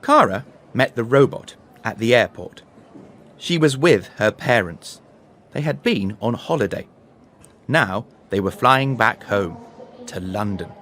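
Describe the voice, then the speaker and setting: A man tells a children's story from a script. He takes it very slowly, with a mid-pitched voice that rises and falls in a lively, expressive way.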